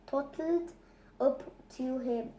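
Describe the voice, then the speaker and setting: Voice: sits high, slow pace, dark tone, roomy sound, muffled recording. A child reading a children's storybook aloud, carefully, with stumbles and repeated words.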